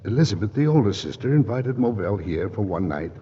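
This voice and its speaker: Shifty lawyer killer, lowish voice, muffled old radio. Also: male voice, very animated, brisk, warm tone, a little hiss or hum. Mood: scheming. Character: scheming male lawyer turned murderer. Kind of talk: 1940s radio acting. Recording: muffled 1940s radio broadcast.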